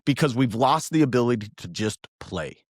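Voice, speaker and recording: A man around fifty, a podcast guest, telling a story in an animated, earnest way and pressing his points with emphasis. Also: mid-range voice, moderate pace, dry recording, clean studio sound. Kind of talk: casual podcast conversation.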